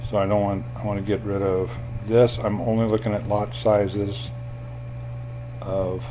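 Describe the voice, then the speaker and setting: Low-pitched man, flat and unhurried, for a screen tutorial with faint hum. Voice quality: self-described boring voice. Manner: plain, unhurried explaining with fillers.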